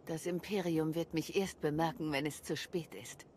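A woman playing a calm, confident military commander in a video game, composed and dutiful. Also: sits low, moderate pace, slight background noise, natural voice. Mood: determined. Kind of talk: German video game voice acting.

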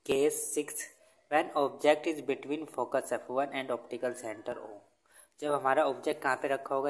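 A man teaches at an indoor desk, speaking Hindi mixed with English and recording on a phone held over his notebook. He keeps asking questions and answering them himself, and he reads the English lines out slowly and deliberately. His voice sits at an ordinary middle pitch. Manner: asks and answers own questions. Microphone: phone camera over notebook.

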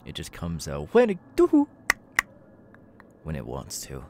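A man's voice: extremely low pitch, huge pitch swings, measured pace, gravelly, background noise, heavily acted. A man playing an unhinged young killer with tics and a stutter, stammering, muttering and yelping out sudden words in an ASMR audio roleplay. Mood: erratic, manic outbursts. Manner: stammering, sudden yelped outbursts, muttered asides.

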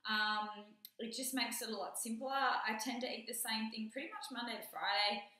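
A woman's voice: on the high side, fairly even intonation, moderate pace, pushed and bright. A young woman in her twenties, a personal trainer, talking casually through a kitchen tour with lots of "um" and "you know" fillers. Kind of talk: casual vlog walkthrough. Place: small home kitchen indoors. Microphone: camera mic a few metres away. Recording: echoey room audio from a distant camera.

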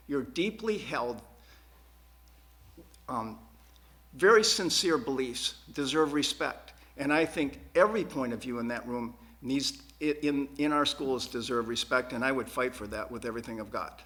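A man's voice: mid-range voice, fairly bright tone. Man giving earnest, emphatic forum answers. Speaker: school board candidate. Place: public access TV studio.

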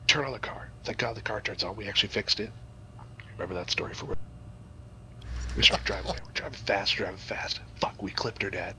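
A man's voice: fairly low pitch, fairly quick, bright, forceful tone, a little hiss or hum. A man improvising a crude comic story in a rambling, profane, theatrical way.

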